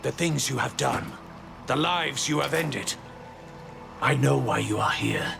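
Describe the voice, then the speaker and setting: A lofty angelic archon, all-seeing and judging. The medium-pitched voice is heavily creaky and theatrically accusing, set over game music. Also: male voice, measured pace. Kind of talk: video game voice acting. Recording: music behind the voice.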